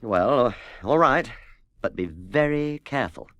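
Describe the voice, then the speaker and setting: A stern, firm father from a children's storybook, as a male reader puts him on. He speaks with commanding weight and a lively rise and fall, in a dry, close sound. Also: mid-range voice, a little slow, no echo, lo-fi sound. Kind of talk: storybook character voice.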